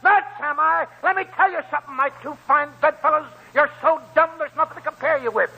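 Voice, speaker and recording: Old American prospector, high creaky voice, sharp and piercing, folksy, mocking, gleeful, vintage radio play. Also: male voice, a little fast, muffled recording, exaggerated voice. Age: older. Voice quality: raspy, creaky. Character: wily, gleeful old gold prospector, cackling and scornful. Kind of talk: 1940s radio drama acting. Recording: old 1940s radio broadcast.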